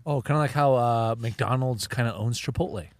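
A man's voice: mid-range voice, moderate pace, dry recording. A young man co-hosting a casual podcast from a parked car, dropping wry quips. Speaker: podcast co-host.

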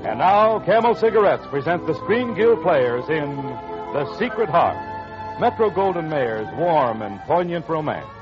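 Animated American man, 1940s radio announcer. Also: on the high side, a little slow. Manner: emphatic, formal projection. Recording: dull old radio recording, music behind.

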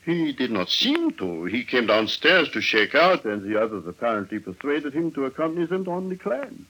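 An actor playing a big, happy French innkeeper in an old-time radio drama, with an effusive manner and a booming voice. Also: male voice, very animated, normal speed, sharp tone, rough recording. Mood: obliging. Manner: effusive, peppering talk with French. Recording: vintage radio drama recording.

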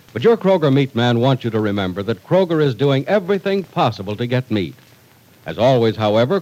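A man's voice: medium pitch, a little mellow, poor audio. A man with an American accent, announcing in a polished, emphatic, scripted 1940s radio style. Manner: polished, emphatic sales delivery.

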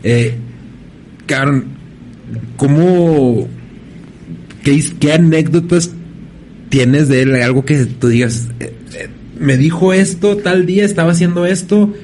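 A man from hosting a casual interview, relaxed and slangy with frequent restarts. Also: a little high, takes it slowly, pauses often, slight background noise. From Ciudad Juárez, Mexico. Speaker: podcast host.

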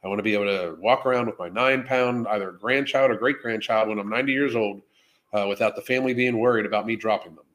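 A man's voice: lowish voice, quick pace, fairly bright tone. A man hosting a casual live Q&A, talking off the cuff in a blunt, heavily profane way. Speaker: live Q&A host. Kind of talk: casual unscripted livestream Q&A, reading and answering viewer questions.

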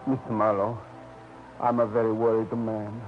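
A man's voice: on the low side, flat delivery, normal speed, music in the background, close to an everyday voice. An actor playing a worried old Italian immigrant father with an Italian accent and broken English, pleading anxiously, in 1940s radio acting. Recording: muffled old radio recording.

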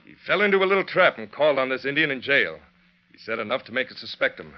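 A man's voice: medium pitch, sing-song, fast talker, close to an everyday voice. An actor playing a firm, authoritative frontier man in an old-time radio western, speaking plainly. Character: brisk, authoritative western lawman or ranch foreman. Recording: dull vintage radio recording.

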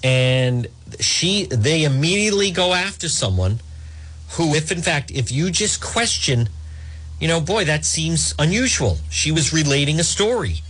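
A man delivers opinionated political commentary as a talk show host. His voice sits a little high and moves around a lot in pitch. He talks in halting, repetitive bursts, circling back on his points as he addresses his listeners. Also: animated, a bit bright, husky, rough recording.

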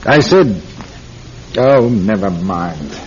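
An actor playing a harried, brusque senior police inspector in an old-time radio drama. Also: male voice, fairly low pitch, animated, drawn-out words. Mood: harried, impatient. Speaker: police superior. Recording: dull, noisy vintage radio recording.

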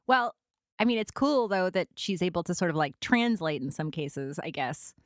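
A woman hosting a podcast interview, relaxed and encouraging, with quick affirmations. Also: lowish voice, no room sound, clean studio sound. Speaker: podcast host. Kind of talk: casual interview.

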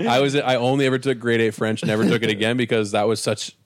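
A man's voice: medium pitch, steady tone, fast talker, no echo, clean studio sound. A young man chatting casually, asking curious questions and swearing freely. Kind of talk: casual chat.

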